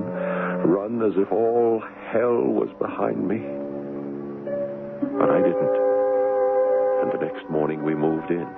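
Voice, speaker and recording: A man's voice: low voice, creaky. An actor playing an American writer husband in a 1970s radio drama, telling his tale in a dramatic, reflective way. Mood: regretful, ominous. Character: American writer husband recounting an ominous past, wistful. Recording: muffled old radio broadcast with music.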